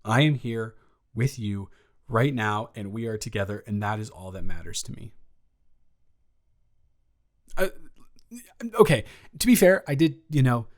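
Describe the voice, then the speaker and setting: A man's voice: on the low side, animated, unhurried, long pauses. Man acting as a lovestruck, rambling young roommate. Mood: mock indignant, fond, then shy, giddy. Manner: rambling, stammering, earnest, awkwardly self-deprecating. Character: lovestruck young male roommate nervously confessing love. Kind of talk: intimate improvised audio roleplay monologue.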